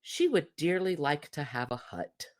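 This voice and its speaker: Woman reader as simple fisherman husband, easygoing, reluctant, plain-spoken, animated intonation, storybook. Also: low-pitched, measured pace. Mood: sheepish.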